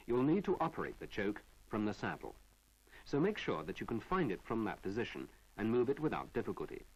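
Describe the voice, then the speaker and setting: A British man reading a measured, didactic narration for an instructional film from the 1970s. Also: on the low side, husky, rough recording.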